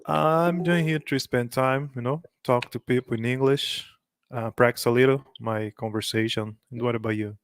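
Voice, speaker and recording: A Brazilian man with a Brazilian Portuguese accent hosting a casual live stream, chatty and laughing often, a little halting when he speaks English. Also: mid-range voice, steady tone, smooth, no room sound, clean studio sound. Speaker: host practicing English with strangers.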